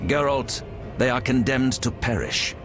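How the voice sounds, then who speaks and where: An actor playing a zealous, prophetic leader of an order in a fantasy game, a grandiose villain with a solemn, declamatory manner. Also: male voice, mid-range voice, very animated, measured pace, gravelly. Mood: grandiose self-justifying. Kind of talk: dramatic fantasy video game acting. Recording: music behind the voice.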